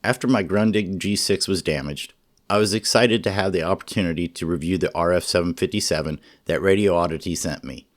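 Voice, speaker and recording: A man narrating a scripted product review in a measured, informative way. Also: low voice. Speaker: radio reviewer.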